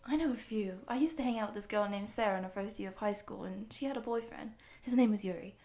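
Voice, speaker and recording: A woman voicing a friendly, excitable eighteen-year-old wolf girl who rambles from one thing to the next in an audio roleplay for the listener. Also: medium pitch, fast, close to an everyday voice. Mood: bubbly, chatty. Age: young adult.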